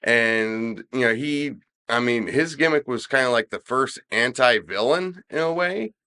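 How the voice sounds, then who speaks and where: Middle aged guy on a podcast, kinda stuffed up and nasal, sharp bright voice, rambles through his opinions. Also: medium pitch, unhurried, clean tone. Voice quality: slightly nasal, congested. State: congested. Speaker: podcast host. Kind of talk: casual wrestling chat.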